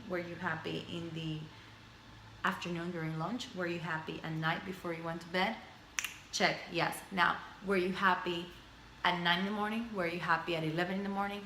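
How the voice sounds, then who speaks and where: A woman's voice: on the low side, little pitch movement, a little fast, sharp tone, roomy sound, slight background noise, rough recording. A woman in her thirties, a native Spanish speaker, talking reflectively to her camera in a personal video journal, rambling through lists and repeating phrases. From Spanish-speaking background. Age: 30s. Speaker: vlogger. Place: indoors at home. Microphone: camera mic.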